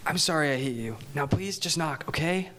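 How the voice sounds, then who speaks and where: An earnest, pushy young teen, acted by a performer, speaks with urgent insistence. The pitch swings widely in this live drama read. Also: animated, brisk, a bit bright, slight background noise, natural voice. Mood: insistent.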